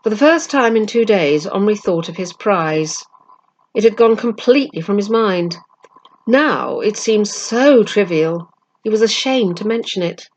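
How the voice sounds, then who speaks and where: A woman reading a children's story aloud with measured narration. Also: mid-range voice, expressive, muffled recording. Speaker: storybook reader.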